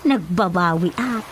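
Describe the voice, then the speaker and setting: A woman playing a coy, flirtatious village storekeeper in a comedic radio drama, with an Ilocano Filipino accent and a drawn-out, giggly delivery. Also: fairly low pitch, noisy background.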